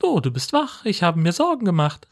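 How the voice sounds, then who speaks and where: A man's voice: sits high, wildly swooping pitch, brisk, voice pushed up, full character voice. A man voicing a caring, easygoing young woman in an amateur radio play of film dialogue, cooing lightly. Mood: concerned, teasing.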